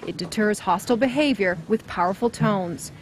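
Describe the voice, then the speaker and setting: A woman's voice: medium pitch, normal speed, a crowd in the background. A woman giving a broadcast news report as a TV reporter. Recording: clear background street and vehicle noise.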